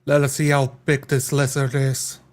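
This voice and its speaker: A man playing a bold, grave adventurer in a tabletop roleplaying game, deliberate and ominous. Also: medium pitch, completely flat delivery, natural voice. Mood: resolute.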